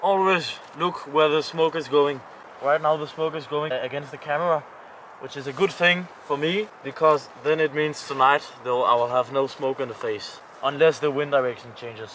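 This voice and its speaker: A man with a Danish accent chatting casually to camera as an outdoor vlogger, in short, plain, halting phrases, recorded outside. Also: fairly high pitch, fairly bright tone, a little hiss or hum, rough recording. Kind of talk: casual chat narrating camping tasks to camera. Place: outdoors at a winter campsite.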